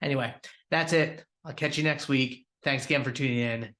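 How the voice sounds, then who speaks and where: A man's voice: medium pitch, normal speed, heavy background noise. A man hosting a weekly industry podcast, recapping news in an upbeat, conversational way with fillers and restarts. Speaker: podcast host.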